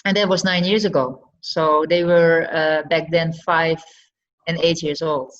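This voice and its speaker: A middle-aged woman with a Dutch accent and Frisian as her mother tongue speaks in a lowish voice. She answers as an interview guest, reflective and often halting. The recording is a little dull and lo-fi. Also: fairly even intonation. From Friesland, northern Netherlands. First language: West Frisian. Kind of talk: conversational personal storytelling in an interview. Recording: slightly dull, lo-fi podcast audio.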